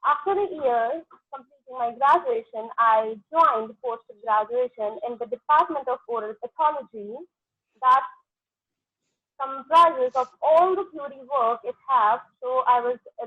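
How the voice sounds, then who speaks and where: A woman's voice: high-pitched, animated, moderate pace, breathy edge, dry recording. Hesitant Indian woman over a phone. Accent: Indian English. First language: Punjabi. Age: 30s. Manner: hesitant, many fillers and restarts. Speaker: exam candidate, dentist. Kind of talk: mock exam answers. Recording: tinny phone-like line.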